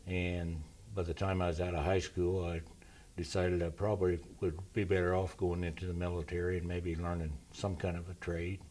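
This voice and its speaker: An older man from Indiana, a military veteran slowly recalling his youth in an interview with his grandson. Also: deep voice, flat delivery, darkish tone, slight background noise. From Indiana, USA. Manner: slow and measured, pauses to recall. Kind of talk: relaxed reminiscing interview.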